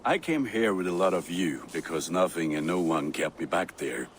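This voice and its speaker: A man playing a warm-hearted, sentimental Viking blacksmith in a video game, speaking earnestly with halting tenderness. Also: deep voice, lively intonation, slight background noise. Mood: sincere, humble. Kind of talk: dramatic game dialogue acting.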